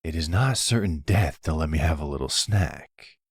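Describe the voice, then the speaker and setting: A man's voice: animated, moderate pace, no room sound, exaggerated voice. A man playing a smug, flirty, teasing vampire in an intimate ASMR roleplay, with a playful, taunting drawl close to the microphone. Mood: coaxing, flirty. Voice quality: deep, gravelly, husky. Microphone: close studio-style microphone, dry.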